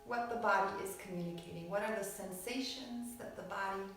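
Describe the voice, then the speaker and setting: A woman teaching yoga nidra, guiding relaxation in a soothing, unhurried manner with short phrases and long pauses. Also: mid-range voice. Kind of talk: guided relaxation meditation. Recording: very echoey room, distant mic, music behind. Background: music.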